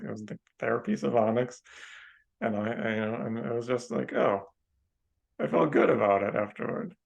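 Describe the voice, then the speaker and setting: A man's voice: medium pitch, vocal fry. A man on a podcast telling a casual anecdote in a hesitant, rambling, tentative way. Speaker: podcast participant.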